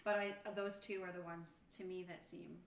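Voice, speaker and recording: A woman's voice: on the low side, level tone, moderate pace, creaky, far from the mic. A woman chatting casually in a group as a tabletop roleplaying player. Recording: echoey room, off mic, faint noise.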